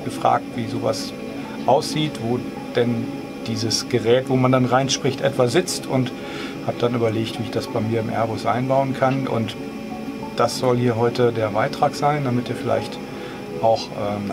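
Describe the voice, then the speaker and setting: A man explaining things in a casual, measured, slightly hesitant vlog style. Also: mid-range voice. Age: 50s. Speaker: host of a home cockpit tutorial channel. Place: small home flight simulator cockpit, indoors.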